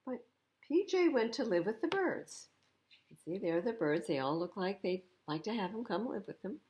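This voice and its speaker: A woman's voice: mid-range voice, big pitch swings, moderate pace, darkish tone. A woman reading a picture book aloud to young children, expressively, with explanatory asides about the pictures. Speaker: children's storytime reader.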